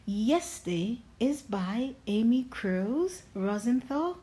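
High-pitched, very animated woman reading storybooks. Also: takes it slowly. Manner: playful, affectionate sing-song address to children. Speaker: children's read-aloud host.

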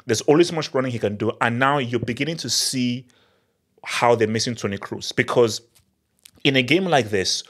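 A man in his thirties, a football channel host, giving an animated, emphatic post-match analysis on a studio mic in a small home studio. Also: mid-range voice, moderate pace. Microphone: studio mic on boom arm.

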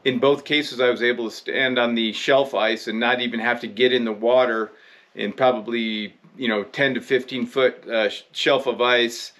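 Halting, conversational American man in his fifties. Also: mid-range voice, a bit bright. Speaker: fishing video presenter. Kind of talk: casual instructional vlog talk. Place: indoor room with rod racks.

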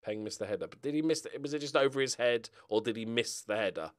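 A middle-aged British man talks through his game as he plays, casual and jokey. His mid-pitched voice is very animated, moving from muttered asides to loud exclamations. He rambles and thinks aloud like a relaxed streamer. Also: fast talker. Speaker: gaming streamer playing a football management game. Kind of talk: casual live gameplay commentary, thinking aloud.

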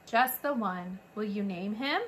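A gloomy father mouse, read by a woman in a storybook, speaking plainly and without fuss. The voice stays clear and bright, close to the reader's own. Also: medium pitch, unhurried, echoey room, natural voice. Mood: grim.

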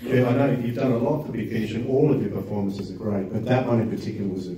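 A man's voice: mid-range voice, fairly even intonation, fast, crowd noise. A middle-aged man casually interviewing a guest as host of a live Q&A. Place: indoor function room at a live event. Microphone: handheld microphone. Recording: very echoey room, distant pickup.

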